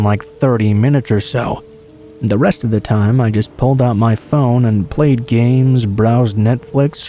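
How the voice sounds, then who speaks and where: A man reading true scary stories aloud as a scripted narration, in a steady, low-key delivery. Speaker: horror story narrator.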